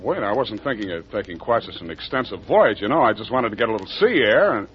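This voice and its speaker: An actor playing a wry, hard-boiled private detective, wisecracking in 1940s radio noir style. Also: male voice, fairly low pitch, quick pace, slight background noise, lightly acted. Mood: sheepish, stalling. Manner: deadpan, wisecracking. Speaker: narrator and lead. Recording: dull old radio recording, music bed.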